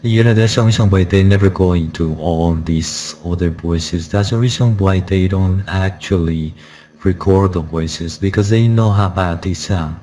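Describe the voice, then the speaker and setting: A man's voice: sits low, normal speed, dark tone. A man giving a casual, insistent spoken review, his voice run through a voice changer. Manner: insistent, repetitive, warning listeners. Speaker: software reviewer. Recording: background noise.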